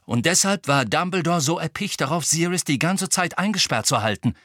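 A man voicing a hot-tempered, desperate teenage wizard boy in an audiobook, loud, urgent and insistent. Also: on the high side, lively intonation, brisk, no room sound, studio quality, pitched above the performer's own voice. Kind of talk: audiobook character acting.

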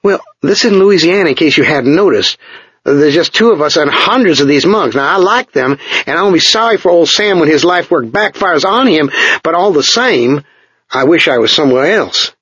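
A man's voice: fairly high pitch, expressive, moderate pace, fairly bright tone, slightly rough, no echo, pitched above the performer's own voice. A man voicing a nervous, urgent American technician who talks in an anxious, pressing way in a storybook reading. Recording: dull lo-fi dry recording.

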